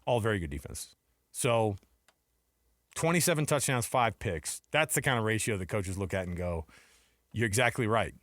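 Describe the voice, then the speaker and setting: A man's voice: on the low side, lively intonation, moderate pace, pauses often, a bit bright, no echo, clean studio sound. An American man co-hosting sports talk radio, chatting casually and bluntly in slang, often tossing out questions. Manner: conversational, opinionated, slangy, throws out questions.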